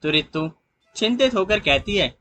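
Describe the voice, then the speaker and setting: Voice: medium pitch, lively intonation, moderate pace, bright, forceful tone. A narrator reading a scripted moral story in a storytelling style.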